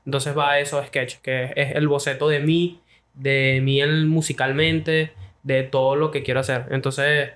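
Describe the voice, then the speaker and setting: A man's voice: mid-range voice, little pitch movement, unhurried, a bit bright. A young Venezuelan man, a guest musician, talking loosely and casually about his work into a studio mic. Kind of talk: casual interview chat.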